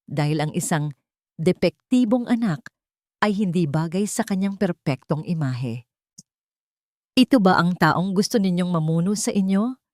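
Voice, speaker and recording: A woman's voice: lowish voice, lively intonation, measured pace, long pauses, darkish tone, no echo, clean studio sound. A woman voicing a proud, righteous and devoted fallen male tycoon in an audio drama, intense and solemn. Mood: accusing.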